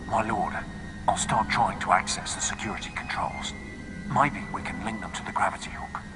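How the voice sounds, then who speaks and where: A man plays a dutiful, deferential tech aide in a sci-fi game. His voice is fairly low, in a reverberant hall with music clearly behind. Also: moderate pace, close to an everyday voice. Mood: tentative, dutiful. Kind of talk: video game cutscene acting.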